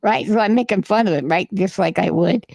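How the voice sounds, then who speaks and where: A man's voice: high voice, brisk, creaky, darkish tone, no room sound. A man co-hosting a podcast, telling a long rambling anecdote in casual chat and swearing freely. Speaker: podcast co-host. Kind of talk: casual storytelling.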